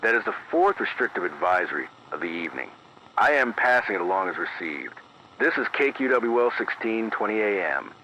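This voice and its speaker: Male actor as grave emergency radio announcer for analog horror drama: low, forceful, formal and deliberate, heard over dull AM radio. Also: big pitch swings, exaggerated voice. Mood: grave, measured. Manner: measured, formal, deliberate, precise. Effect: AM radio filter. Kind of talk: scripted analog horror emergency news bulletin. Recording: dull band-limited AM radio, faint noise.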